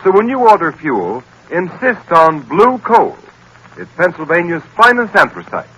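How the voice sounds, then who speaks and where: A man's voice: high-pitched, very animated, measured pace, sharp tone, husky, poor audio. An American man announcing and narrating in vintage 1940s radio style, with dramatic, emphatic delivery.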